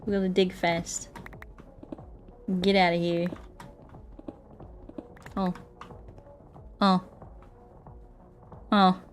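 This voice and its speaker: A woman doing a casual gaming livestream, rambling playfully and thinking out loud as she chats with viewers. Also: on the low side, flat delivery, extremely slow, long pauses, faint music behind. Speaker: streamer playing Minecraft and chatting with viewers.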